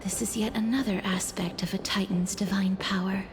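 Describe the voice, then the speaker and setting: An actress playing a solemn, dutiful young woman in an anime game, speaking softly and breathily in formal, measured phrases, with music behind. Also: mid-range voice, fairly even intonation, noisy background, lightly acted. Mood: pensive. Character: soft-spoken, solemn, dutiful young woman with deathly power.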